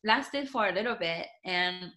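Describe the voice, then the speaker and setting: A woman's voice: fairly low pitch, lively intonation, measured pace, pushed and bright. A woman with a Japanese accent, Japanese as her first language, speaking as an author being interviewed, talking casually in loose restarts full of "like". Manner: talks in loose restarts with many "like" fillers. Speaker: guest, author.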